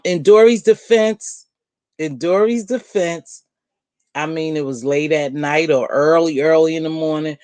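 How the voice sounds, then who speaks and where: Deep-voiced woman rambling on her live stream, blunt and chatty, dry close sound. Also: a little mellow, no room sound. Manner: chatty, blunt, calls viewers baby and girl. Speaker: livestream host. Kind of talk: casual live chat, answering viewer comments. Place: at home.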